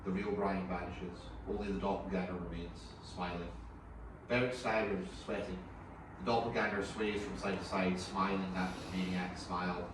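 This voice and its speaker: A man's voice: sits low, flat delivery, moderate pace. A man reading stage directions as scripted narration at a table read. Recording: distant echoey room, clear background noise.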